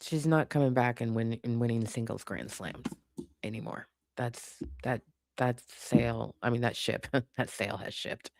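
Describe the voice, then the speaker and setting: The sassy, opinionated voice you would hear on a casual livestream, answering the chat in a colloquial way from a dry room, at a middling pitch. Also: steady tone, a little slow, gravelly, no room sound. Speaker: livestream host chatting with viewers. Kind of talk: casual unscripted chat, reacting to comments.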